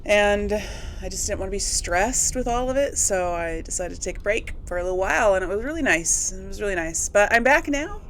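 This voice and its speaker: A woman's voice: medium pitch, lively intonation, a little fast, a little hiss or hum, low-quality recording. A woman giving casual, chatty let's play commentary, thinking aloud and asking herself questions as she goes. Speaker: video game streamer and series host.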